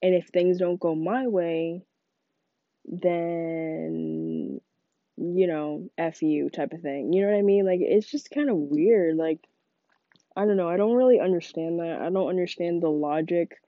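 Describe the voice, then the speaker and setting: A woman's voice: fairly low pitch, measured pace, dry recording, narrow, tinny audio. Congested young woman rambling casually. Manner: rambling, frequent "like" fillers. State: congested from a cold. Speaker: podcast host. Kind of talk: casual solo monologue.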